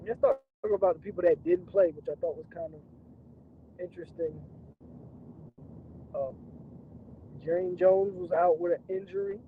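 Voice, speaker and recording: Hoarse, gravelly man talking from a car. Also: high voice. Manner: keeps clearing throat and coughing, loose rambling talk. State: hoarse, voice nearly gone. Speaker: channel host. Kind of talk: casual livestream reaction. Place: inside a moving car. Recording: tinny phone-line sound with faint car noise. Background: faint car and road noise.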